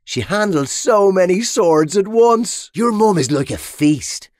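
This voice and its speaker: A man with an Irish accent voicing a cheeky, rough mercenary in a medieval battle video game, slangy, brash and theatrical. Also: sits high, expressive, measured pace, a little mellow, no room sound, clean studio sound. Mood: mocking.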